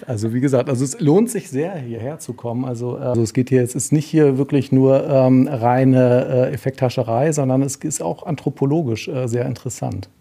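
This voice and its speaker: A man comments casually as a guest. His voice is very dark and soft-edged, with a husky grain. He searches for words as he goes, in a hesitant conversational way. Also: medium pitch, fairly quick, few pauses. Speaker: author, podcast guest. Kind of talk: casual spoken commentary on an exhibition.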